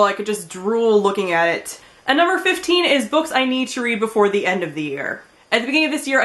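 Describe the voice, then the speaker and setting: A woman's voice: a little high, fairly bright tone. A woman in her twenties talking casually and expressively to camera as a book vlogger, with emphatic enthusiasm. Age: 20s. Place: small indoor room with bookshelves.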